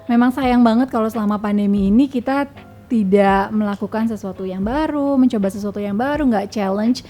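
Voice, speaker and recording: A woman's voice: a little high, fairly quick, dark tone, faint music behind. A woman with an Indonesian accent chatting casually and informally as a guest, dropping in English words. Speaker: guest sharing experiences and tips.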